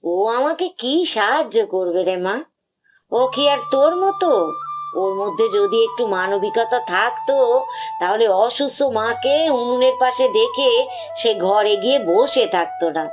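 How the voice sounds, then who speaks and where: A woman's voice: fairly high pitch, expressive, measured pace, music in the background. A woman voicing a weary, ailing village mother in a cartoon fairy tale. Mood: bitter. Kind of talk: animated storybook dialogue.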